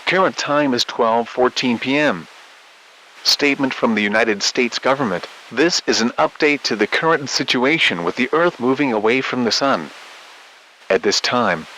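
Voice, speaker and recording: Man as weather radio announcer: mid-pitched, sharp, formal bulletin reading, slight hiss. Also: low-quality recording.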